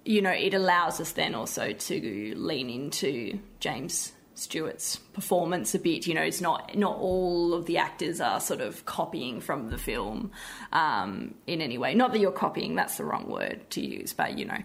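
A woman's voice: deep voice, big pitch swings, normal speed, vocal fry. Chatty, enthusiastic woman. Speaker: theatre director being interviewed. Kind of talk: casual interview chat.

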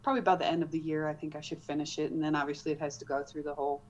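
A fairly low-voiced woman from the Netherlands, speaking with a light Dutch accent as a guest author. She talks in a chatty, animated way and laughs easily. The sound is a bit dull and lo-fi. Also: racing. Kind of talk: casual interview.

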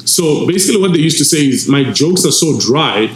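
A man from Zimbabwe whose first language is Shona, a DJ telling his story in a casual, animated way with laughs and shout-outs, in a restaurant lounge. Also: on the high side, brisk, reverberant, rough recording. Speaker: DJ guest.

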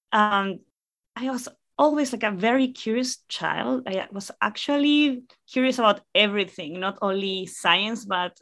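A Chilean woman in her thirties speaks English with a Spanish accent, her voice bright and clear. She answers interview questions casually and thoughtfully, with small fillers as she recalls her past. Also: mid-range voice. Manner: warm, reflective storytelling with fillers. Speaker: guest astrophysicist.

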